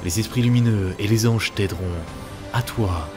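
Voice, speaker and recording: A male narrator with a deep voice delivers scripted narration. Music plays clearly behind him as he reads. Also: normal speed.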